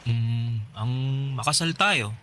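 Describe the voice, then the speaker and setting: A man's voice: medium pitch, lively intonation, slow, sharp tone, slight background noise. A man acting a devoted, easygoing young student boyfriend in a radio drama, casual and tender, with a Filipino accent. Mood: agreeable, wistful.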